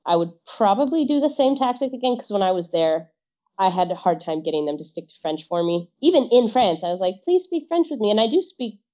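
A woman's voice: mid-range voice, sing-song, brisk, darkish tone. A woman who teaches English, chatting casually as a podcast guest and laughing often. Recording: dull lo-fi audio.

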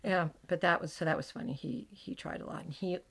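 A middle-aged American woman with a gravelly voice, chatting as a podcast host and telling animated stories. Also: on the low side, fairly quick. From United States. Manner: chatty, animated storyteller, laughs often. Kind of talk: casual chat.

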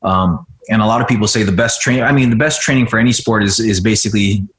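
A man in his forties from the United States, a jiu-jitsu guest explaining things in a relaxed way with frequent fillers. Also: lowish voice, quick pace. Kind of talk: casual podcast interview. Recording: roomy echo.

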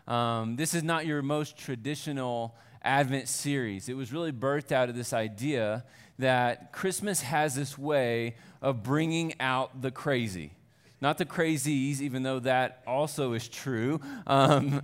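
A pastor preaching a Sunday sermon in a church, conversational and self-deprecating, building to earnest fervor. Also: male voice, mid-range voice.

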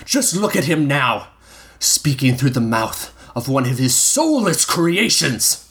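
A man's voice: mid-range voice, very animated, slow, fairly bright tone, voice pushed up, heavily acted. A man voicing a zealous militia leader who rouses his followers. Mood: rousing. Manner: rousing, declaiming.

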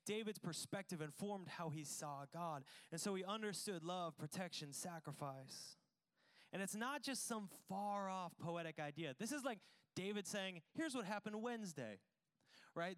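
A man's voice: sits high, a bit bright, clean tone. A man preaching a conversational sermon to a congregation, casual and joking, poking fun at himself. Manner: casual, joking, self-deprecating. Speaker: pastor. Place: church service with congregation.

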